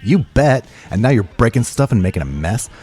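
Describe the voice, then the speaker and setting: An actor playing a gruff, scolding, threatening video store clerk in an audio drama. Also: male voice, mid-range voice, big pitch swings, a little fast, darkish tone, faint music behind. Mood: annoyed, scolding.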